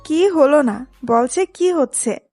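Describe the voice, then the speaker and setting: A woman's voice: sits high, lively intonation, slow, warm tone, no echo, faint music behind. A woman playing a protective, exasperated older sister in a dramatised romantic audio story, with a stern, admonishing manner. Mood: stern scolding.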